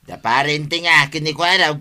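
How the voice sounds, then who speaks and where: Man playing a very sharp, teasing village drunk. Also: on the high side, brisk. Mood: tipsy mocking. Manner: mocking, rambling, name-calling. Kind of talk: comedy radio drama acting.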